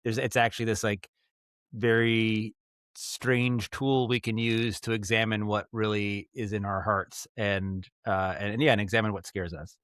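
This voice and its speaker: A man's voice: fairly low pitch, flat delivery, a little slow, a bit bright, no echo, clean studio sound. An American man chatting casually as an interview guest, thinking aloud in a rambling, thoughtful way and repeating words as he goes. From Maine, United States. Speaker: podcast guest, audio drama writer and director.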